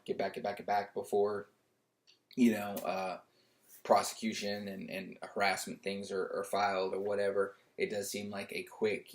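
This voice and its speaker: Man, young adult, low voice, monotone, loud, indignant home vlog rant. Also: vocal fry. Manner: indignant, repetitive, restarts often. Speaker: YouTuber. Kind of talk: casual opinion rant to camera.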